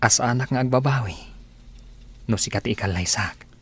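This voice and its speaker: A man's voice: medium pitch, normal speed, fairly bright tone, breathy edge. An actor playing an easygoing young man with an Ilocano accent in a radio drama. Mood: teasing, wooing.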